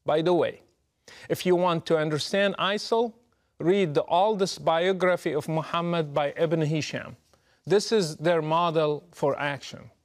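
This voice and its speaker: A middle-aged man from Morocco, giving a prepared, deliberate and emphatic address straight to the listener. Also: on the high side. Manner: measured, emphatic, deliberate delivery. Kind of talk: prepared direct address to camera.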